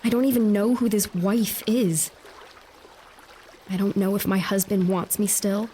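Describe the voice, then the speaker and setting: A woman's voice: medium pitch, steady tone, unhurried, mellow, slight background noise, close to an everyday voice. A woman playing a doubting, exasperated young noblewoman in an audio drama, speaking bluntly. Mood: doubting, reflective. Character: frustrated, doubting young noblewoman traveling to her husband. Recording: audio drama with faint water ambience.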